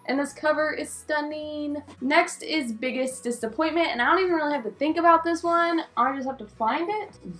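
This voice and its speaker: A woman's voice: a little high, fairly bright tone. Chatty woman vlogger over background music. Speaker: book vlogger, host. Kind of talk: casual chatty video talk to viewers.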